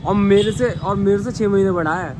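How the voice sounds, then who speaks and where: A man's voice: high voice, moderate pace. A man in his twenties, vlogging in casual chat and teasing his friend. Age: 20s. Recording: outdoors with loud traffic noise.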